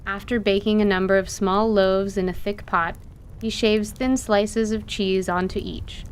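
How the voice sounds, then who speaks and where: A woman's voice: medium pitch, steady tone, measured pace, clean tone. A woman reading scripted story narration for an audio drama.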